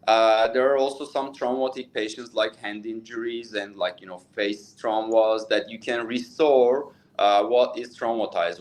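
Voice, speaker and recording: A man's voice: medium pitch, rough recording. A man in his thirties with a Turkish accent, a plastic surgeon answering interview questions in English at length, with many fillers and restarts. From Turkey.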